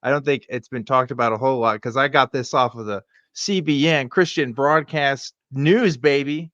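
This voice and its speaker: A man's voice: mid-range voice, normal speed, fairly bright tone, no room sound, muffled recording. A man hosting a live show, chatting casually and joking in a sarcastic manner. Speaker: host of a live weekly show. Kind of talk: casual, joking commentary chat.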